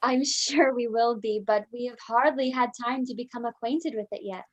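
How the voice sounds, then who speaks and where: A woman's voice: fairly high pitch, normal speed, lightly acted. A woman playing a gracious, courteous young daughter in an old-time radio style drama. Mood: apologetic, courteous. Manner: courteous, proper. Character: polite American daughter, young gracious new manor owner.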